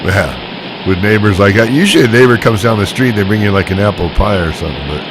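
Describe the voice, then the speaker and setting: A man's voice: lowish voice, fast, over music, poor audio. A man chatting and telling stories casually and wryly over CB radio. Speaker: CB radio operator. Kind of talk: casual chat, storytelling. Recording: CB radio audio with background sound.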